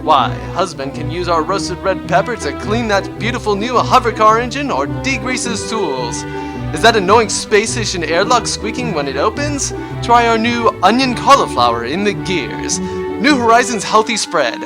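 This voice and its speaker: A man playing an over-cheerful pitchman in a parody radio advertisement, upbeat and salesy. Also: big pitch swings, moderate pace, music in the background. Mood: chirpy selling.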